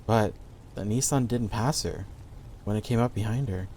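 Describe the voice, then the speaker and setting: A man's voice: lowish voice, moderate pace, darkish tone, a little hiss or hum. A man reading a scripted horror story as the narrator of an audio play. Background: background music.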